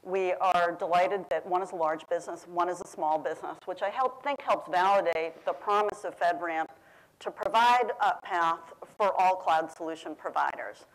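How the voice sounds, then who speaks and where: Woman panelist, lowish voice, loud and steady, speaking into a panel mic in a big room. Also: normal speed, low-quality recording. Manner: says she has a loud voice. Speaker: government program official on a panel. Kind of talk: prepared panel remarks. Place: large conference room. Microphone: panel microphone.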